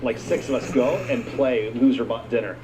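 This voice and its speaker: A man's voice: mid-range voice, steady tone, normal speed, background noise. A man from Lebanon chatting casually and enthusiastically as they show off a collection at home, in a rambling, anecdotal way. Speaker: collector giving a tour of a home arcade. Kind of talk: casual show-and-tell chat. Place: indoor rooms of own house.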